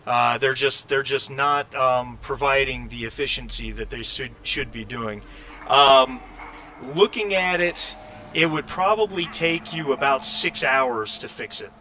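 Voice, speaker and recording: A man narrating casually as game master, improvising with halting pauses. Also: mid-range voice, pushed and bright, slight background noise, poor audio.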